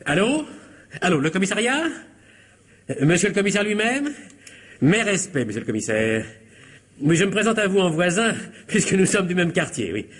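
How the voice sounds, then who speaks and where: A man's voice: high-pitched, sing-song, normal speed, pauses often, breathy edge, full character voice. An actor playing a nervous, scheming husband in a comic radio mystery, stammering and repeating himself as he frets. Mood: falsely polite, flustered. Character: nervous, scheming husband and son who botched killing his wife. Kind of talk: French comic radio mystery play acting.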